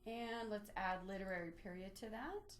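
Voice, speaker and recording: A woman presenting an instructional software walkthrough, explaining methodically step by step in a lightly improvised way. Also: medium pitch, normal speed, soft background music. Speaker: presenter of a library database tutorial.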